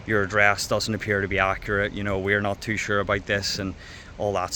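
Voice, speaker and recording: A man's voice: lowish voice, sharp tone, slight background noise. A young man from Northern Ireland with a Northern Irish accent, telling a story in a casual, rambling vlog while walking outdoors. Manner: chatty, rambling, with fillers and wee. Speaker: vlogger. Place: outdoors by a railway line.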